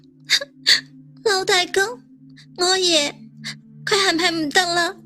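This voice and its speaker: A woman's voice: extremely high pitch, fairly even intonation, takes it slowly, pushed and bright, faint music behind, pitched above the performer's own voice, exaggerated voice. A woman voicing a frightened, tender young woman who pleads anxiously in a narrated story. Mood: tearful, distraught.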